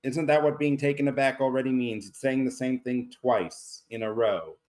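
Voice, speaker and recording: A man's voice: mid-range voice, fairly even intonation, moderate pace, a little mellow. A man giving casual, blunt, explanatory criticism in a group feedback discussion. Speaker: table read participant giving feedback.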